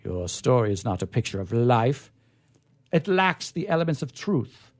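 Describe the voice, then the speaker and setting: A man's voice: mid-range voice, very animated, moderate pace, natural voice. A man voicing a pompous, exacting newspaper magnate in a story reading, with an effusive, lecturing, declamatory delivery. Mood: stern, lecturing. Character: pompous, exacting male newspaper magnate addressing his writers.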